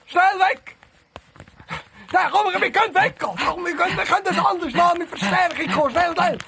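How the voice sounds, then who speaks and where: A man's voice: very high-pitched, normal speed, vocal fry, a crowd in the background. A man with a Swiss German accent playing a bossy, boastful police detective in an audio drama, blustering and self-important. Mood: alarmed, urgent.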